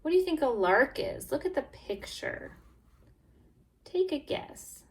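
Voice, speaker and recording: A woman teacher doing a read-aloud lesson for young English learners, expressive and encouraging, stressing key words and prompting listeners with questions. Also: high-pitched, unhurried, creaky. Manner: expressive, exaggerated storytelling, emphasizing key words. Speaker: teacher reading a picture book to English language learners.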